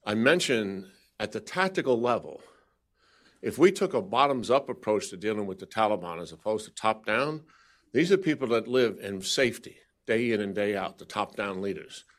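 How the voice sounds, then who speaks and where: A man, a government official, answering questions at a press briefing in a measured way, restarting his sentences now and then. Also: lowish voice, lively intonation, fairly bright tone.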